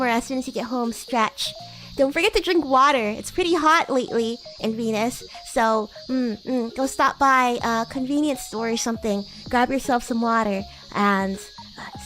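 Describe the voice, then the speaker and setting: Woman acting a high-pitched bratty demon girl. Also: fairly bright tone, faint music behind, natural voice, rough recording. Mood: grudgingly caring. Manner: teasing, haughty, halting and flustered. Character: bratty flustered teenage demon girl class president, secretly fond. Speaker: VTuber streamer. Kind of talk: livestream roleplay, anime-like character acting.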